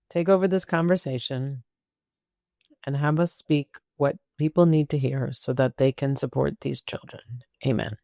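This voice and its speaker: A woman's voice: deep voice, dry recording, clean studio sound. A woman hosting a casual interview, talking informally and thinking aloud as she goes. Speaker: interview show host.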